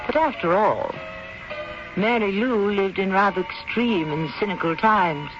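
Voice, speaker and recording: A man hosting a radio mystery series, delivering theatrical scripted narration in a wry, measured, philosophical manner. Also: high-pitched. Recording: dull lo-fi radio broadcast, music.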